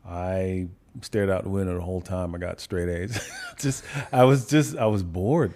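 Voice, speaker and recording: Gravelly, mellow-voiced man from Jamaica. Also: sits low, moderate pace. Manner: relaxed storytelling, laughs often. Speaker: podcast guest. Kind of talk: casual interview chat.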